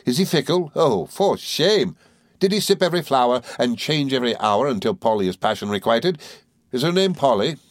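A man reading a story aloud, voicing a tiny, sly woman who chatters rapidly and giggles. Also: medium pitch, lively intonation, no room sound, studio quality, voice pushed up, natural voice. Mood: arch.

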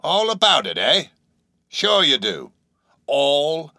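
Man acting old sailor stranger, high forceful voice, derisive, abrupt, cryptic, insanely earnest, storybook character. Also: huge pitch swings, takes it slowly, no room sound, studio quality, heavily acted. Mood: derisive, knowing. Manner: abrupt, nervous emphasis, cryptic, exclamatory questioning.

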